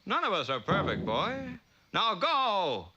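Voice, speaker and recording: An excitable, bossy cartoon king. He is high and very sharp, swinging wildly in pitch on a dull soundtrack. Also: unhurried, slightly rough, full character voice. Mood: commanding. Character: excitable, gullible, bossy old-fashioned fairy-tale king. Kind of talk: comic cartoon character acting.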